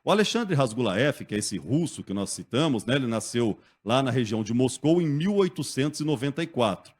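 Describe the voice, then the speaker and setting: A man telling local history as a guest in a radio studio, lively and conversational, often checking in with the listener. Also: medium pitch, a little fast. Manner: lively storyteller, acts out quotes, often asks "né?".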